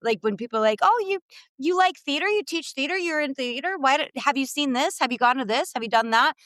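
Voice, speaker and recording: A woman's voice: sits high, lively intonation, fast, a bit bright, dry recording, studio quality. A woman in her late thirties co-hosting a podcast, candid and casual, swearing now and then and trailing off. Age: late 30s. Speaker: podcast co-host, theater teacher. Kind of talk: casual chat.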